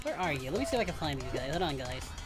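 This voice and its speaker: A woman chatting casually with friends while playing a game, often yelling and laughing. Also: deep voice, big pitch swings, very fast. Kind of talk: casual gaming chat. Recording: game music behind.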